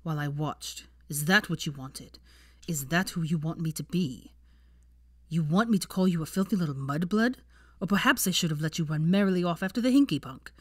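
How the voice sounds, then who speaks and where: A woman's voice: low voice, animated, brisk, no echo, studio quality. A woman reading the part of a bitter, defensive seventeen-year-old wizard boy with a cold temper in an audiobook story. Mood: cold, cruel. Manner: profane, bitter, arguing in long bursts. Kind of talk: fan fiction audiobook character dialogue.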